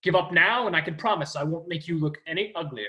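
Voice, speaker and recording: A man's voice: on the high side, quick pace, bright, forceful tone. A man playing a vain, dashing hero prince who boasts in a pompous way. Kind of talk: stage play acting.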